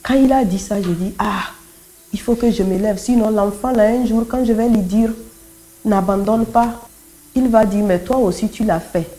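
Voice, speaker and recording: A woman's voice: medium pitch, moderate pace, dark tone, soft background music. A woman whose native language is Kotokoli, giving a personal religious testimony as a church speaker, telling it dramatically with emphatic repetition and acted-out dialogue. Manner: emphatic, repeats phrases, acts out quoted dialogue.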